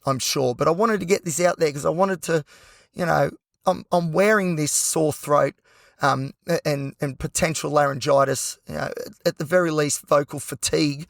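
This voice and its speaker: An Australian man hosting a solo podcast with a hoarse, croaky voice, talking passionately and rambling with lots of fillers. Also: a little high, moderate pace, dry recording, clean studio sound. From Newcastle, New South Wales, Australia. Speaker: podcast host.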